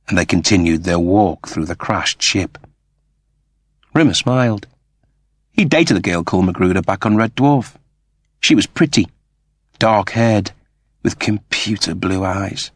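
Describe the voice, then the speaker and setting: Low, animated male storyteller. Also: no echo. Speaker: audiobook narrator. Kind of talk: dramatic scripted fiction narration.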